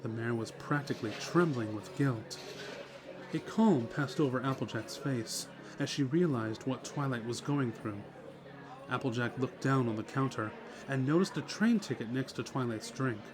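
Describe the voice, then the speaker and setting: A man's voice: mid-range voice, normal speed, rough recording. A man reading scripted story narration as the narrator of a dramatic reading. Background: music.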